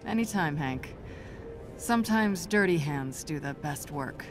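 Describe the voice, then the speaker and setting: An actress playing a seasoned spy in a video game, cool, blunt and commanding, with terse delivery. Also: female voice, low-pitched, animated, a little slow, music in the background. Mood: dry, businesslike. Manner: terse, no-nonsense. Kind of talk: video game cutscene acting.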